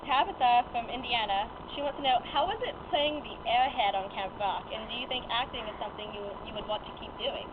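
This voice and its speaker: A young woman conducts an informal interview, a little hesitant as she feels her way into each question. Her voice comes through a thin, tinny phone line with clear noise. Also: a little high, fast, hardly any pauses. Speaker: fan-site interviewer.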